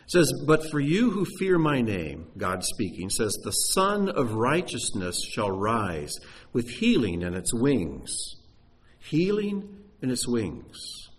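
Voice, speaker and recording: Guy giving a sermon, voice jumps all over, gets loud then goes real quiet. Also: medium pitch, slow. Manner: animated, emphatic teaching with sudden drops to near-hushed voice. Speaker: teacher.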